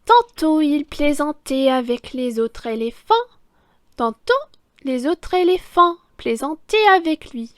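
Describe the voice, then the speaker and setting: A woman reading a children's picture book aloud, deliberate and theatrical, stressing key words. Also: sits high, no room sound, studio quality. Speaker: children's story reader, French teacher.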